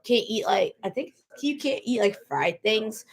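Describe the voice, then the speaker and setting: A woman's voice: fairly high pitch, lively intonation, a little slow. Woman, late twenties, casual vlog talk, rambling, repeats herself, many fillers and restarts. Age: 20s. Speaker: vlogger. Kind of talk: casual personal talk to camera.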